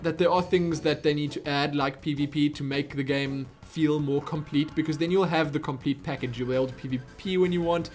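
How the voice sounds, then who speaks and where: Chatty South African man, fairly high voice. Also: steady tone, fairly quick, hardly any pauses, a little mellow, faint music behind. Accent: South African English. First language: Afrikaans. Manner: chatty, rambling, self-interrupting. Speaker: YouTube Q&A host. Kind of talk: casual viewer Q&A.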